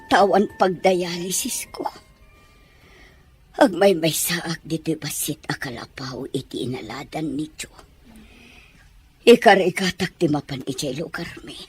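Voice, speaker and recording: A woman acts out an ailing, abandoned mother for a radio-style drama. Her voice is very husky and breathy, on the low side, often slipping toward a whisper. She sounds weary and pleading. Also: darkish tone, heavily acted. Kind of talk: Ilocano audio drama acting.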